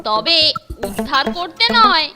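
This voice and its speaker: A woman voicing a lively, teasing young boy in a cartoon. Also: high voice, takes it slowly, full character voice. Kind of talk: animated cartoon dubbing. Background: cartoon background music.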